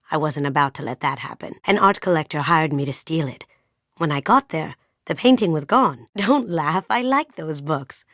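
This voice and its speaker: A sly, playful master thief from a video game, speaks in a low, soft female voice. She is wry and confiding, with a sentimental streak. The sound is close and dry, free of any room. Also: no room sound. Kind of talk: video game character dialogue.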